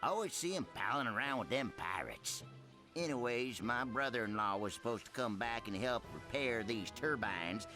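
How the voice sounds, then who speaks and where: A man's voice: mid-range voice, expressive, normal speed, music in the background, close to an everyday voice. A man playing a timid, grumbling small-town mechanic in a video game, with a rural American accent and a folksy, rambling manner. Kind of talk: video game cutscene acting.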